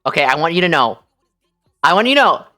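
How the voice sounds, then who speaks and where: A woman livestream host telling a story casually, in an animated, incredulous, slangy way. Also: deep voice, fast, faint music behind.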